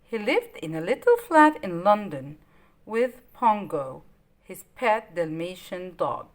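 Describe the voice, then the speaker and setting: A woman storyteller reading aloud to children in an expressive, simply worded way with dramatic emphasis. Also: on the low side, takes it slowly.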